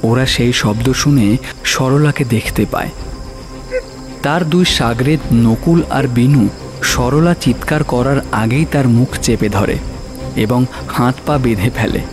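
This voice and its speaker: A male storyteller with a medium-pitched voice delivers scripted horror narration. He is grave and dramatic, keeping the suspense up, with music running underneath. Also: takes it slowly, poor audio.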